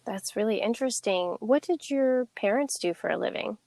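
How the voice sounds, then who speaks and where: A woman hosting a podcast, introducing her guest and reacting with quick interjections. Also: fairly high pitch, creaky, darkish tone, no echo. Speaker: podcast host.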